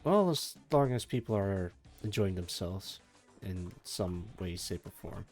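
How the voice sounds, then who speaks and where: A low-pitched man speaks softly on a home desk mic, sleepy and meandering. He mutters half to himself between remarks to his viewers. A faint energetic soundtrack plays under his voice. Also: a little slow. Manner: soft, meandering, mumbles to himself, trails off. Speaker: streamer. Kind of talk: casual live-stream chat while working. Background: faint energetic soundtrack music.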